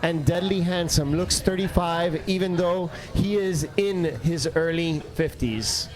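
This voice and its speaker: High-pitched man narrating a comic play. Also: unhurried, low-quality recording.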